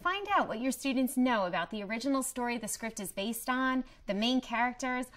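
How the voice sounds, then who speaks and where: A woman presenting a promotional training video in a scripted, clearly structured explanatory way. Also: on the high side, expressive, brisk, fairly bright tone. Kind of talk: scripted instructional narration.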